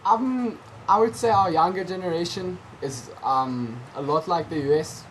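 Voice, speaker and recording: A teenage man with a South African accent and Afrikaans as his first language talks over a video call. He answers casually and haltingly, filling pauses with ums. A faint hum of background noise sits under his voice. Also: sits high, fairly bright tone, poor audio. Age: teens. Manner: hesitant, lots of ums, friendly. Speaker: guest, junior tennis player.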